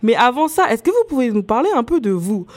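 A woman with a moderately high-pitched voice hosts a radio interview. She speaks conversationally as she introduces and questions her guest. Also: big pitch swings, quick pace.

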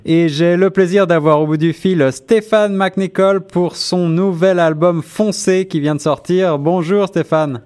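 A male radio host interviewing a singer, friendly but halting, with many fillers. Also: high voice, darkish tone.

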